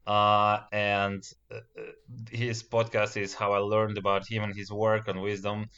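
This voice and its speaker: Smooth low-voiced man, dull lo-fi sound. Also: completely flat delivery, measured pace, bright, forceful tone. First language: Russian. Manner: careful, polite, hesitant phrasing. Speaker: podcast host. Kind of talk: hosting an interview. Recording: dull lo-fi recording.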